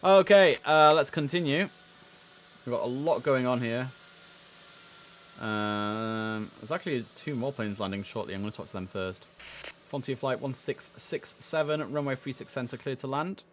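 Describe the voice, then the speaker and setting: A man with a mid-pitched voice streams an air traffic control game over faint background noise. He chats casually in muttered asides, then switches to clipped, formal controller calls. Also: moderate pace. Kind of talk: live game stream commentary mixed with radio-style controller calls.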